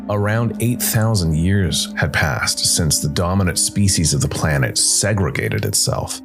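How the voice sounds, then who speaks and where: A man narrating a scripted fantasy tale dramatically as a storyteller. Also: sits low. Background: music.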